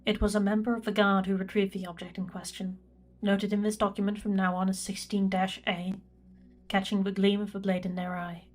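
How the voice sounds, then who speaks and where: A woman's voice: mid-range voice, flat delivery, lightly acted. A woman playing a reserved imperial records prefect, dictating a log in a formal, precise manner for a sci-fi audio drama. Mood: clinical, measured. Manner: formal, measured, clinical.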